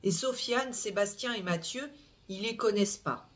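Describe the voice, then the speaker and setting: Halting speaker full of filler sounds. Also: medium pitch, a bit bright. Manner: hesitant, frequent "euh" fillers and restarts; measured, explanatory delivery with tag "hein". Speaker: true-crime channel host. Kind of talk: recounting a criminal case to viewers.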